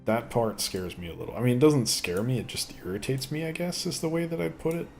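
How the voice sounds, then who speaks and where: A man rambling casually while commentating on a gameplay video, with a voice he says sounds deeper since an illness. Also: lively intonation, fast talker, gravelly, darkish tone, soft background music. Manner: rambling, chatty, thinks aloud. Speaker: gaming video host. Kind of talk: casual let's play commentary.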